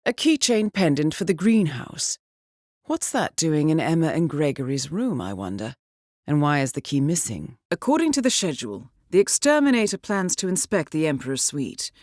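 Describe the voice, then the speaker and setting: An actress playing a coolly professional handler who briefs an assassin in a video game, speaking in a composed, formal with dry understatement. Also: female voice, low-pitched, sing-song, normal speed, a little mellow, no room sound. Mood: quietly intrigued.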